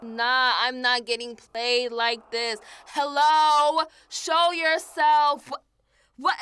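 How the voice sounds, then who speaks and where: Woman acting a frantic, high-pitched trapped young woman. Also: little pitch movement, takes it slowly, fairly bright tone. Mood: alarmed, defiant. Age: young adult. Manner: frantic screaming and pleading. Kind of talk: audio horror drama acting. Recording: very noisy, clicking recording.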